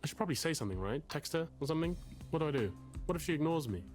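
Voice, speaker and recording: A male actor voices an anxious, self-pitying intellectual man in a narrative game. His pitch moves in lively swings as he halts, interrupts himself and spins wordy confessions. Music plays clearly behind him. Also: very fast, natural voice. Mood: fretful, flustered. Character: anxious, bookish, self-pitying man agonizing over an affair. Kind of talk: confessional dramatic game dialogue.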